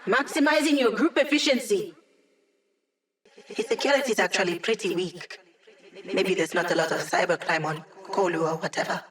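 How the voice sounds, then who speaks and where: A woman's voice: medium pitch, normal speed, far from the mic, natural voice. An actress voicing a sassy, cocky young female hacker in a video game, with wry, teasing and sarcastic delivery. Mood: smug. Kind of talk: video game character voice lines.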